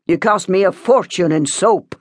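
A woman voicing a curt, domineering middle-aged father with a sharp, bossy tone in an audiobook story. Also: lowish voice, normal speed, no echo, clean studio sound, pitched above the performer's own voice, lightly acted. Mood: angry, scornful.

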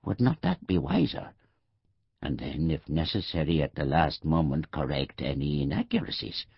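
An actor playing a bitter, dying old man in an audiobook monologue, gravelly and deliberate, musing and correcting himself. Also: extremely low pitch, very animated, dry recording, lo-fi sound, exaggerated voice. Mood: wry, doubting. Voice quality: gravelly, husky. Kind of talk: audiobook first-person monologue, literary. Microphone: close studio microphone, dry.

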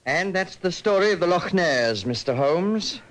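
A man's voice: sits high, lively intonation, measured pace. An actor playing a troubled young nobleman, earnest and formal, in 1940s radio drama style. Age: young adult. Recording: faintly noisy vintage radio recording.

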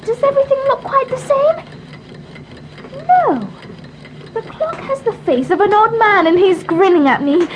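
A woman's voice: extremely high pitch, background noise, heavily acted. An actress playing an eager, imaginative little girl in an audiobook drama. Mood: delighted.

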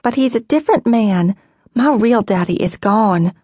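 A woman's voice: a little high, lively intonation, moderate pace, dark tone, no echo, studio quality, voice pushed up. A woman voicing a young runaway girl who is earnest, stubborn and emphatic. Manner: pleading, emphatic.

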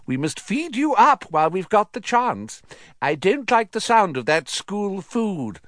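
A man's voice: high-pitched, sing-song, unhurried, fairly bright tone, dry recording, clean studio sound, pitched above the performer's own voice, full character voice. A man voicing a doting middle-aged aunt, sugary and fussy, in a storybook reading. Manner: cooing, fussy.

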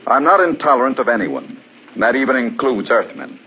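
An actor playing an earnest, polite scientist in an old-time radio drama, formal and measured. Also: male voice, medium pitch, lively intonation, slightly rough. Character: earnest, polite visiting scientist, open-minded and inquisitive. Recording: dull, noisy old radio recording.

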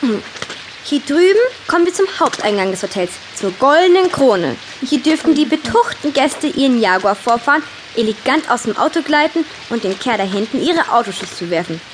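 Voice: high-pitched, very animated, a little fast, a little hiss or hum. A performer playing a cheeky, sarcastic country girl in a children's audio drama, with a wry delivery. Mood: mock grand. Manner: wry, mock-formal at times.

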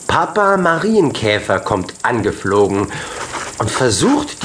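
A man narrating a children's audiobook expressively, in short measured phrases. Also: mid-range voice, noisy background. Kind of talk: scripted children's story narration.

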